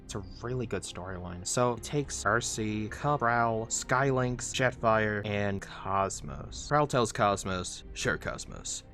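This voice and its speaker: A man narrates a comic analysis in an informal, scripted style. His voice is fairly low, and quiet music plays beneath it. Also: takes it slowly, hardly any pauses. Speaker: video essay narrator.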